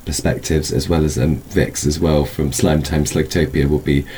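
A man with a very deep voice talks as an interview guest about an event. His phrasing is thoughtful and hesitant, and faint noise sits behind him. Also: flat delivery, normal speed, dark tone. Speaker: film festival spokesperson, guest. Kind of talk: informal interview, explaining an event.

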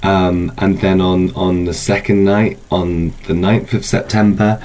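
A man's voice: low voice, level tone, a little slow, a little mellow, slight background noise. A man speaking as an event organiser in an informal interview, explaining things in a loose, hedging way. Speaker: film festival organiser, interview guest.

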